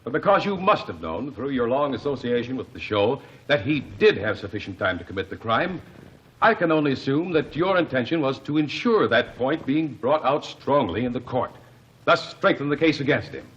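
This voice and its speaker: An actor playing a shrewd, methodical male investigator in a 1940s radio courtroom drama, questioning witnesses in a measured, probing way. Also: medium pitch, lively intonation, fairly bright tone, lightly acted. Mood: accusing. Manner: measured, probing cross-examination. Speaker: defense attorney questioning witnesses. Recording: dull lo-fi old radio broadcast.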